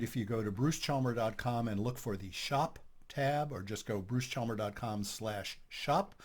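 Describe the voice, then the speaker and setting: Chatty older man hosting a podcast. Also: medium pitch. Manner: relaxed, chatty, self-deprecating humor. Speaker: podcast host, couples therapist. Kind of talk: casual podcast chat.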